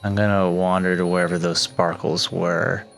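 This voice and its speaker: A man playing a tabletop roleplaying game, chatting casually in a halting, informal, sweary way. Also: low-pitched, little pitch movement, creaky, a bit bright. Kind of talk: casual improvised game chat. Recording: faint music behind the voice. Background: background music score.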